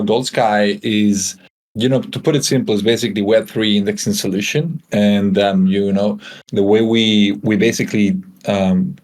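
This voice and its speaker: A man from Spain giving a casual technical presentation as a guest engineer on a video call, relaxed and full of fillers and restarts. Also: fairly low pitch.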